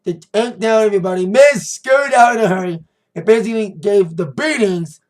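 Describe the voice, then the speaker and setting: An American man with a slightly hoarse voice, giving a rambling, informal and emphatic recap as a wrestling review vlogger. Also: sits high, fairly quick. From United States. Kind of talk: casual recap commentary to camera.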